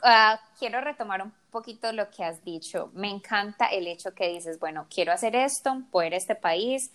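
A woman's voice: mid-range voice, moderate pace, a bit bright. A woman host with a Latin American Spanish accent, chatting in an interview, encouraging and repeating words as she searches for them. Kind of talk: casual interview chat.

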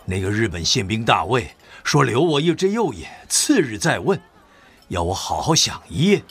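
An actor playing a weary, stoic wartime official who has survived torture, in a Mandarin audio drama. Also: male voice, mid-range voice, very animated, normal speed, fairly bright tone, husky, full character voice. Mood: grim, bitter.

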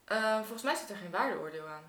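A woman's voice: medium pitch, animated, fast, crowd noise. A woman chatting casually, interjecting and thinking aloud in a tentative way. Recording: bright, echoing room recording.

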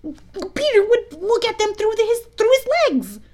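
A woman voicing excitable, simple young boys from a children's adventure storybook, exclaiming breathlessly. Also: sits high, a little slow, voice pushed up. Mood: eager.

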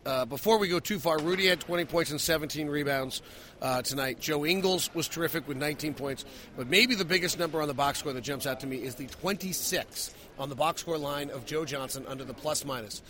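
A man's voice: on the high side, a little fast, fairly bright tone. A man hosting a sports post-game podcast, breaking down the night's play as a broadcaster would. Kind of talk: post-game analysis and commentary.

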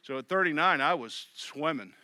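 A middle-aged pastor giving a sermon in a conversational way, often voicing the thoughts of others. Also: male voice, fairly high pitch, lively intonation, normal speed, bright, forceful tone, dry recording. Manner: conversational preaching with quoted inner voices.

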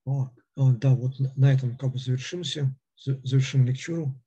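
A man lecturing off the cuff, stopping and restarting at every turn with a string of fillers. His voice is very dark and husky, and he speaks in a flat, level tone. The recording sounds dull and lo-fi. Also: mid-range voice. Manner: halting, with constant hesitations and fillers. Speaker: lecturer on philosophy. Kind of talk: unscripted lecture.

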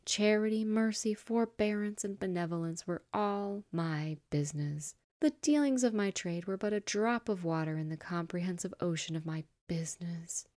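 A woman reading aloud, voicing a tormented male ghost in chains who speaks slowly and often sinks to a breathy whisper. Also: on the low side, a little mellow, no room sound, pitched above the performer's own voice, close to an everyday voice. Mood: mournful. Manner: slow, dragging, breathy whispering delivery.

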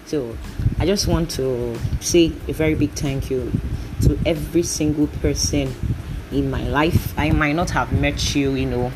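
A woman's voice: low voice, fairly even intonation, a little slow. Young Nigerian woman, early twenties, solo podcast host; chatty, rambling delivery on a noisy home recording. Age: 20s. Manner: chatty, rambling, often laughing. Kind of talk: casual solo podcast chat.

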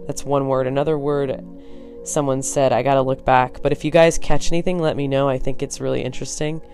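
Connecticut-accented solo podcast host, tired, frank and rambling, medium pitch. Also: little pitch movement, brisk, darkish tone, faint music behind. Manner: blunt and frank, swears, rambling asides. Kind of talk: casual solo podcast talk.

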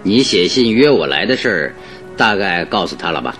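A low-voiced, wry detective. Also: male voice, very animated, normal speed, a bit bright, soft background music. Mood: wryly amused. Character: composed, wryly amused male master detective. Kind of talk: Mandarin radio drama acting.

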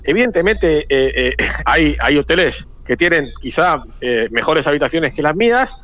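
A man in his forties from Madrid with a Castilian Spanish accent, a hotel owner giving a chatty, halting radio interview full of repeated words. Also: fairly high pitch, sing-song, a bit bright. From Madrid, Spain. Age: 40s. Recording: dull lo-fi radio audio.

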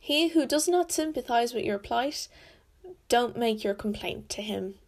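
A woman storyteller with an Irish accent, reading out proverbs in a deliberate, measured recitation with pauses. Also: a little high, expressive. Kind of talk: proverbs read aloud in Irish and English.

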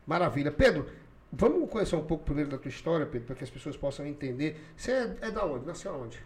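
A man's voice: on the high side, big pitch swings, fast talker, a little mellow. A man hosting a casual podcast interview, chatty and prone to echoing his guest's answers back. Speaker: podcast host.

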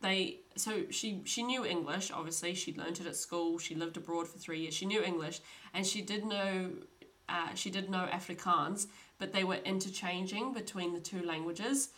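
A woman's voice: on the low side, moderate pace, a bit bright. A young woman hosting a podcast, telling a true crime story in a chatty, casual way with frequent laughs. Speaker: podcast host.

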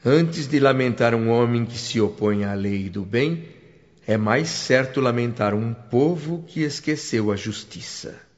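A man narrating a radio drama in a solemn, measured way. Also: medium pitch. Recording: dull lo-fi radio recording with music.

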